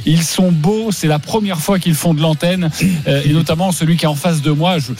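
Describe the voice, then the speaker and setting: Upbeat radio host, slightly higher voice. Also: male voice, brisk, a little mellow. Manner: upbeat, presents segments and guests. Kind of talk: hosting a radio call-in show.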